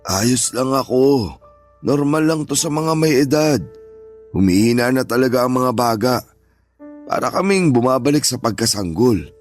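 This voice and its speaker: A man's voice: mid-range voice, expressive, normal speed, pauses often, faint music behind, natural voice. An actor playing an elderly Filipino grandfather, stubborn but joking and reassuring, in radio drama style. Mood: stubborn, dismissive. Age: older. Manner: gentle, reassuring, fatherly.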